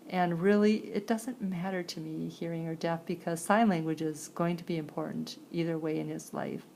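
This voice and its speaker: A woman with an American accent and a creaky voice, interpreting a signed personal story aloud in halting phrases with pauses. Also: low-pitched, darkish tone, slight background noise. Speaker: sign language interpreter voicing a signer.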